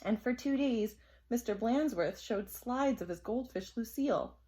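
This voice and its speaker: A woman storyteller reading a children's book aloud in an expressive, dramatic style. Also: fairly high pitch, measured pace.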